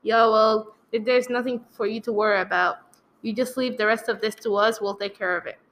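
A woman's voice: fairly high pitch, steady tone, fairly quick, fairly bright tone. Woman as a brusque, dismissive police officer. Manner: patronizing, matter-of-fact. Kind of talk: radio play acting.

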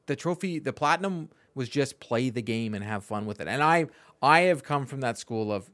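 A man co-hosts a podcast in a casual, opinionated way. His pitch is average for a man. The recording is dry, with no room sound around the voice. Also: expressive, normal speed, a bit bright, no room sound. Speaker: podcast co-host.

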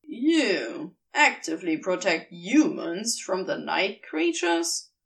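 A woman's voice: mid-range voice, big pitch swings, slow, vocal fry, voice pushed down, full character voice. A woman voicing a snide, sardonic male demon with a sneering, defensive manner. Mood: incredulous. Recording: distant mic.

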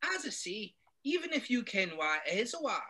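A man reading a story aloud, putting on a stern, irritable middle-aged Scottish witch in a high falsetto, set back from the mic. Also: big pitch swings, normal speed, bright, forceful tone, husky, exaggerated voice. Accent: Scottish, put on. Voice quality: high strained falsetto with thick Scots words.

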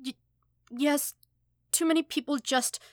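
A woman voicing an anxious, ashamed teenage boy in a story, stammering and halting as he speaks. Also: high-pitched, steady tone, dry recording, studio quality, pitched above the performer's own voice. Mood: overwhelmed, distressed.

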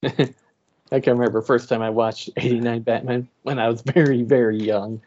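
A man's voice: medium pitch, steady tone, normal speed, darkish tone. A man in his late thirties co-hosting a podcast, telling stories in casual group chat and laughing as he goes. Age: 30s. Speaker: podcast co-host. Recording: dull lo-fi sound.

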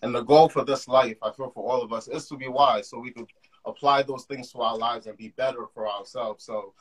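Husky-voiced young man hosting a podcast. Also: medium pitch, steady tone, fairly quick, low-quality recording. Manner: enthusiastic, talks in loose run-on phrases. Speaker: podcast host. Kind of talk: casual conversation. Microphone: call.

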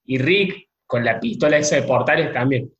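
A man's voice: mid-range voice, moderate pace. Laughing man in an echoey room. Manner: laughs often, relaxed. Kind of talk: casual podcast chat. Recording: roomy, muffled mic.

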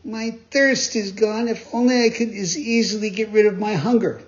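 A man voicing an impulsive wooden puppet boy who pleads and stammers in a high, whining voice. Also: fairly even intonation, moderate pace, close to an everyday voice. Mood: grumbling. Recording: dull lo-fi recording.

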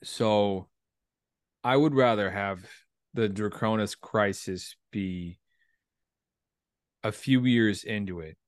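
A man in his thirties talking through writing ideas casually, in a halting, reflective way with some salty language. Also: lowish voice, no room sound, studio quality. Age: 30s. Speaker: writer and performer, military veteran. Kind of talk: casual creative discussion.

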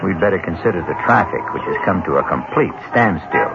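A man narrating a suspense radio drama as its host, in a theatrical, deliberate delivery. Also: low voice, expressive, creaky, heavy background noise. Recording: muffled 1970s radio recording, loud music behind.

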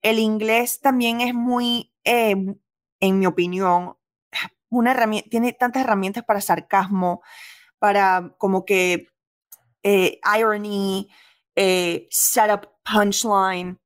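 A woman from Venezuela with a Venezuelan accent, a comedian chatting casually in an interview, lively and laughing often, slipping English phrases into her talk. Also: mid-range voice, slow. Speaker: stand-up comedian, guest.

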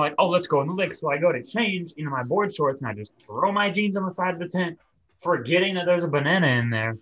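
Husky, higher-pitched young man telling an anecdote. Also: a bit bright, poor audio. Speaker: podcast co-host.

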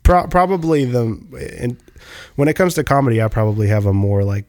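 A man's voice: mid-range voice, animated, fairly quick, darkish tone, no echo, studio quality. A man in his twenties chatting casually, rambling with lots of "like" and fillers. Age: 20s. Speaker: musician guest. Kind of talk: casual chat.

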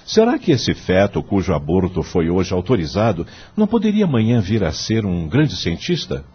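Fatherly brazilian law professor, super swoopy intonation, low husky voice, radio play. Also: wildly swooping pitch, highs cut off, heavily acted. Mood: earnest, didactic. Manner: didactic, measured, moralizing, rhetorical questions. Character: wise, kindly male law professor, fatherly mentor. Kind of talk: radio drama acting.

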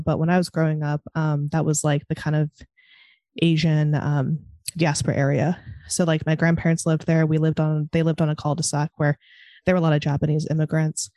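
A woman's voice: sits low, dark tone, no echo, clean studio sound. A woman from California with a Californian American accent, chatting casually in a hesitant, meandering way peppered with "like". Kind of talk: casual panel conversation.

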